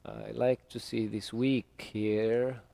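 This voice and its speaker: A man with English as his third language, live-streaming his trading and talking through it slowly with many fillers at a home desk. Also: lowish voice, little pitch movement, vocal fry, dark tone, dry recording. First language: not English. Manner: slow, hesitant, many fillers.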